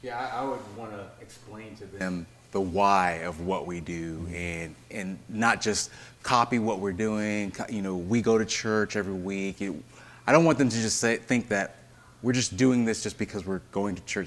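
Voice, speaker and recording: A man, a pastor on a panel, speaking hesitantly with restarts and trailing off more softly. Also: on the low side, normal speed. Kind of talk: casual advice talk.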